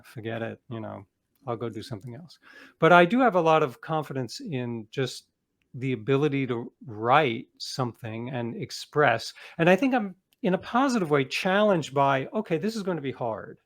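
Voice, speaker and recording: Self-assured man in a reflective interview. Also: medium pitch. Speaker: interview guest, author. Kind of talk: conversational interview, personal anecdotes.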